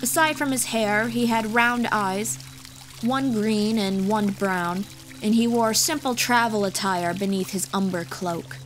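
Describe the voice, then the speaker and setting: A woman's voice: mid-range voice, unhurried. A woman reading scripted fantasy audiobook narration as the storyteller, with music behind her. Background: background music.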